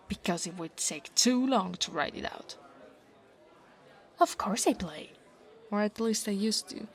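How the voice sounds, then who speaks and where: Woman acting a slow-talking, flustered, haughty dragon girl. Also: medium pitch. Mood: defensive, exasperated. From Italy. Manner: haughty, flustered, stammering, often dropping to breathy near-whispers. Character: insecure, defensive young dragon girl, a bullying secret nerd. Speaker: ASMR roleplay voice performer. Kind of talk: scripted ASMR monster roleplay, acting one side of a conversation.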